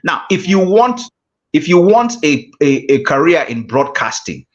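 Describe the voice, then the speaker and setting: A man with a Nigerian accent leads a speaking class in a measured way, circling back to repeat his key phrases. His voice sits a touch high. The audio is muffled and lo-fi. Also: very animated. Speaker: guest speaking coach. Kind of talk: teaching a public-speaking class.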